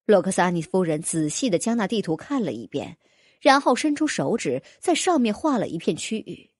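Husky woman narrating with lively intonation. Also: medium pitch, normal speed, dry recording, studio quality. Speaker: audiobook narrator. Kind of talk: scripted dramatic fantasy novel narration.

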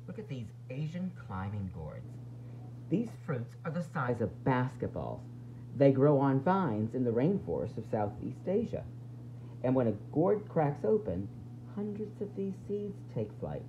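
A woman's voice: rumbling low, very animated, unhurried, warm tone, dull audio, slight background noise. A woman teacher reading a children's nonfiction book aloud, expressively explaining it and putting questions to young listeners.

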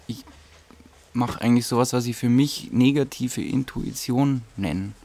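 A man, a painter, reflecting on his own work in a halting, searching interview manner. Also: mid-range voice, mellow.

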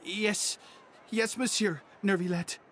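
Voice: mid-range voice, steady tone, takes it slowly, fairly bright tone, slight background noise. An actor playing a nervous, cowed young male underling in a video game, hesitant and stammering. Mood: nervous, defensive. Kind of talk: video game character acting.

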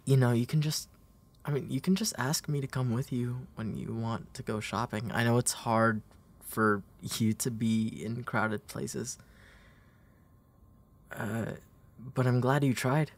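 A young man playing a gentle, protective, playful big brother in a comfort roleplay, speaking in a hesitant, soothing way. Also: mid-range voice, steady tone. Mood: soothing, reassuring. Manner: hesitant, soothing, halting delivery. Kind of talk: intimate comfort roleplay audio.

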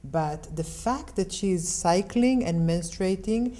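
Slow, low-voiced, calm woman. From Israel. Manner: calm, measured, explanatory. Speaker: interview guest. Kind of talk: unhurried interview talk.

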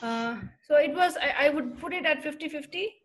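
A middle-aged woman answers a question as a panelist on a video call from a room indoors. Her voice sits a little high. She speaks in a measured, plain way with some fillers, picked up by a laptop mic. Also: soft background music. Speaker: panelist, professor. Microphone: laptop webcam on video call.

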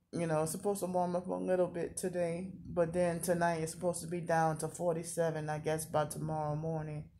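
Low, flat-voiced woman in her thirties. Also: slight background noise. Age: 30s. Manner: rambling, repeats herself. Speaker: vlogger. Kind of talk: casual confessional vlog talk.

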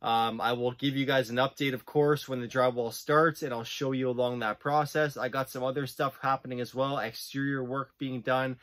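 A man's voice: medium pitch, fairly even intonation, normal speed, few pauses, a bit bright, dry recording. Casual young man vlogging a renovation. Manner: casual, explanatory, says "guys" and "right?" often. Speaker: real estate investor vlogger. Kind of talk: casual walkthrough vlog. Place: basement under renovation, indoors. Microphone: phone camera held at arm's length.

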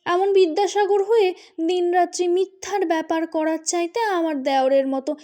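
A woman voicing a witty, teasing, sharp-tongued married sister-in-law in an audio storybook, with pointed bantering retorts. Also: very high voice, steady tone, moderate pace, pitched above the performer's own voice. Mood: scolding, teasing.

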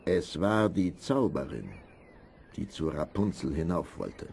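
A man's voice: sits low, lively intonation, a little slow, gravelly, darkish tone, slight background noise. A man narrating a scripted fairy tale as a storyteller.

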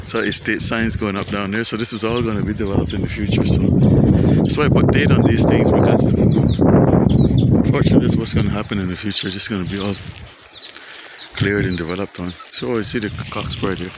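A man's voice: fairly low pitch, fairly even intonation, fairly quick. A man narrating a casual nature walk to his phone camera, rambling and thinking aloud with many fillers. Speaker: nature vlogger. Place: outdoors on a windy coast and scrub. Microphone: phone camera held by the speaker. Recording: loud wind and outdoor noise.

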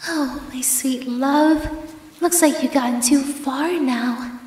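A woman's voice: sits high, steady tone, a little mellow. A woman playing a possessive, obsessive yandere ex-girlfriend in a horror ASMR roleplay, cooing endearments with menace. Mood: sweetly possessive. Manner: slow, drawn-out, cooing endearments turning threatening. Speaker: audio roleplay performer. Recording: echoey reverberant hall effect.

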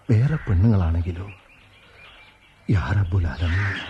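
An actor playing a doting, teasing young husband in a radio drama, with a playful and indulgent manner. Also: male voice, mid-range voice, dark tone, highs cut off, slight background noise. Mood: musing. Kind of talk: 1990s-style radio drama acting.

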